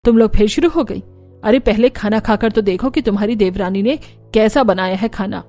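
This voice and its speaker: A woman's voice: mid-range voice, fairly quick, warm tone, soft background music, lightly acted. A woman voicing a firm, fair-minded older mother-in-law in a cartoon, scolding yet reasonable. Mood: exasperated.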